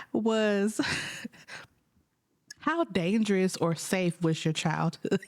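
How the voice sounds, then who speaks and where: A thirty-year-old woman, co-hosting a podcast, who teases her co-host, laughs often and jumps into squeaky voices when she quotes people. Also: very slow. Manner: playful teasing, laughs, squeaky put-on quotes. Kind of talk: casual chat.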